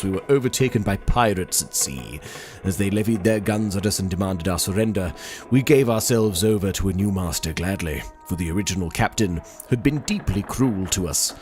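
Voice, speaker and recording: A man's voice: lowish voice, lightly acted. A man reading as a solemn old sea captain. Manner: solemn, formal letter reading. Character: 18th-century sea captain writing to his daughter.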